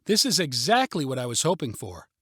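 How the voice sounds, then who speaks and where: A man's voice: mid-range voice, big pitch swings, a little fast, a bit bright, slightly rough, no room sound, clean studio sound. A man voicing an upbeat, confident mother in a story, with an encouraging, calling-out manner. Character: upbeat, confident mother leading a family hike.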